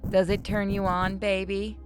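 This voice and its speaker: A woman's voice: mid-range voice, little pitch movement, normal speed, music in the background, lightly acted. A woman voicing an intense, tormented female captor in a horror visual novel, commanding and clipped. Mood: taunting.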